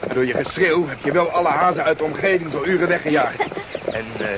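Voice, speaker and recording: An actor playing a level-headed, commanding leader of adventurers in a radio drama, firm and calming. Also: male voice, a little high, quick pace, noisy background, lightly acted. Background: horse hooves and outdoor ambience.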